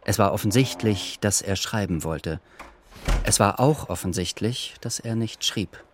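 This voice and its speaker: A man's voice: fairly low pitch, a little slow, background noise, natural voice. A man narrating a literary radio drama as a composed storyteller, reading scripted prose. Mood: measured.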